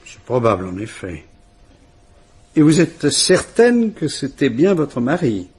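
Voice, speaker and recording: An actor playing a patient, courteous police inspector who speaks in a calm, dry way. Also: male voice, medium pitch, slow pace, breathy edge, exaggerated voice. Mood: courteous, probing. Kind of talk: 1950s French radio drama acting.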